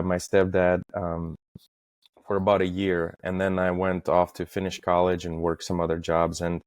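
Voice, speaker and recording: Deep monotone guy from estonia, lots of uhs, dry close mic. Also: dry recording. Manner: halting, frequent fillers and restarts. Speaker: podcast guest. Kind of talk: casual interview talk.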